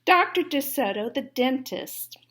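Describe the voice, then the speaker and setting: A woman with a high voice reads aloud for children at a slow pace. She is deliberate and explanatory, like a teacher taking a class through a book. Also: expressive, fairly bright tone. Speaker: story reader and teacher.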